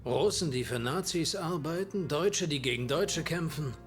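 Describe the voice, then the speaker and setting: A man acts out a hard-boiled, cocky American soldier in a video game cutscene. His pitch sits a little high and his delivery is wry and punchy. Music plays clearly behind him. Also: expressive, moderate pace, close to an everyday voice. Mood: defiant. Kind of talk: dramatic game cutscene dialogue.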